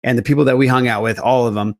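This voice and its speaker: Male podcast host, mid-pitched, loose rambling storyteller in casual group banter. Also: steady tone, very fast.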